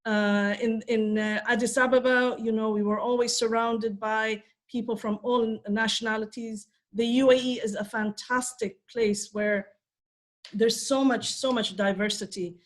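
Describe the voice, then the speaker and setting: A woman's voice: on the high side, steady tone, measured pace. A woman in her fifties from Ethiopia, giving a conversational talk on a panel with frequent fillers. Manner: conversational, many fillers and restarts. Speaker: conference speaker.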